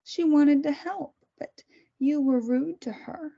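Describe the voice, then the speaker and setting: A woman voicing a calm, clinical woman who holds a captive and experiments on her, reading in a table read. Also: high-pitched, slow, gravelly, heavily acted. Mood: cold. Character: coolly menacing woman doctor-kidnapper Beth. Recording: dull lo-fi recording.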